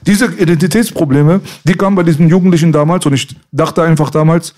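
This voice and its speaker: A man's voice: a little high, quick pace, a little mellow. A man in his thirties from Iran, talking emphatically and passionately in casual street slang. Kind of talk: casual conversation.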